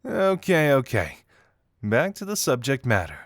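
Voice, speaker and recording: A man's voice: mid-range voice, huge pitch swings, measured pace, no room sound, clean studio sound. A man playing a playful, teasing young detective in an intimate audio roleplay, talking conversationally and with amusement straight to the listener. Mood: amused, recapping.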